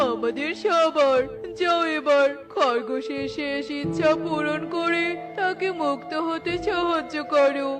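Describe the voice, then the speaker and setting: A woman voicing gentle, helpful male figures in a cartoon in a kindly, reassuring manner. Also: high voice. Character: cloud king and helpful bird husband, gentle male figures.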